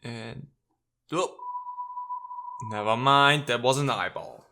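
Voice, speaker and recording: A man's voice: medium pitch, slow pace, bright, forceful tone, faint music behind. A man giving casual, playful let's play commentary, slipping into theatrical readings of game text and talking to the characters.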